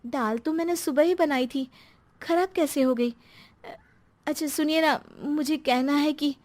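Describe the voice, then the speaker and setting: A woman plays a long-suffering housewife and mother in a cartoon story. She speaks in a high voice, meekly and hesitantly. Her manner is apologetic. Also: measured pace, a little mellow.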